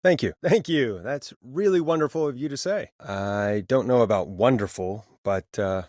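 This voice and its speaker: An actor playing a wry, exasperated small-town late-night radio host in a game, conversational and a little hesitant, with dry sarcasm. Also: male voice, mid-range voice, moderate pace, a little mellow, no echo, natural voice. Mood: gently amused. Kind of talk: scripted game voice acting, radio host patter.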